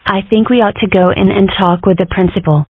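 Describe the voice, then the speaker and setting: Cartoon fox mother, woman performing: assertive, practical, wry, medium pitch, dry muffled-line sound. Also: steady tone, brisk, husky, no room sound, natural voice. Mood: businesslike. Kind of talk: comic strip dub.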